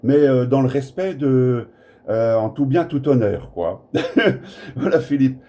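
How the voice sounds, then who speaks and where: A man's voice: mid-range voice, slow, warm tone. A French man chatting as a radio operator in a blunt, colloquial, rambling way. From Brittany, France. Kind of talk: casual radio contact chat. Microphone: smartphone recording in the room. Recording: clear faint background noise, smartphone recording.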